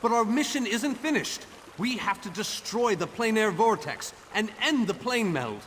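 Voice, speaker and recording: An actor playing a theatrical, genial and self-important mage leader in a fantasy video game. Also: male voice, high voice, expressive, moderate pace, fairly bright tone. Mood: resolute. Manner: grandiloquent, theatrical. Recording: long hall reverb, faint noise.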